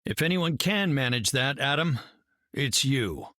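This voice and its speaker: A man's voice: mid-range voice, lively intonation, a little slow, bright, forceful tone, no room sound. An idealistic scientist hero from a video game, sincere and earnest. His voice sits mid-range. It is recorded close and dry.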